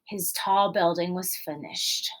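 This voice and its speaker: A woman reading a storybook aloud as a teacher, expressive and explanatory, putting guiding questions to young children. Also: fairly low pitch, slow, noisy background.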